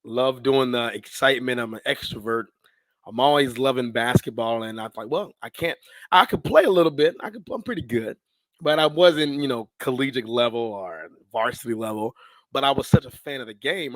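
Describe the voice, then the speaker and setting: An American man in his thirties tells stories as a podcast guest. His pitch sits in the middle and the sound is dry, with no room on it. He talks casually and in slang, voicing his own past thoughts and quick exchanges as he goes. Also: a bit bright, no room sound. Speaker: podcast guest, sports public address announcer. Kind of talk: casual storytelling in an interview.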